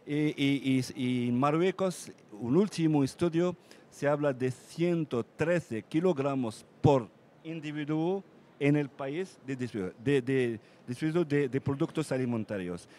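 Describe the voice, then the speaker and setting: Halting man, many restarts. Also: on the high side, a little mellow. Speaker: mayor. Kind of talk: conference panel talk. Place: conference panel.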